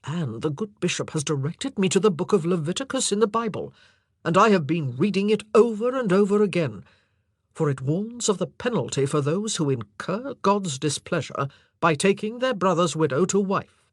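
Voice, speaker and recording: A narrator voicing an anguished, impassioned and forceful male monarch in an audiobook drama. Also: medium pitch, expressive, normal speed, dry recording, studio quality, natural voice. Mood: tormented.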